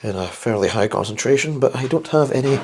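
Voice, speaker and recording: A man with a slightly croaky, flu-worn voice hosts a casual instructional science vlog, chatty and rambling with wry, self-deprecating asides. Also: medium pitch, animated, a little fast, slight background noise. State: getting over the flu. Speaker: host of a science video series.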